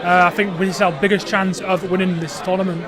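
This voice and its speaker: High-voiced young man amid crowd noise. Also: little pitch movement, a little fast. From Burnley, England. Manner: confident, filler-heavy. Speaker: esports player. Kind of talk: casual interview answers. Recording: noisy crowd behind. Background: crowd chatter.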